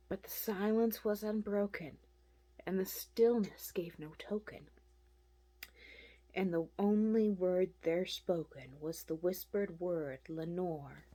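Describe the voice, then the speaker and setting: A woman performs a poem in a soft, husky voice with a dark, mellow tone. Her delivery is slow and theatrical. She moves between whispered phrases and emphatic, punched words. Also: fairly low pitch. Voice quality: soft and breathy, often dropping to a whisper. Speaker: poetry reader. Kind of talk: dramatic literary poetry recitation.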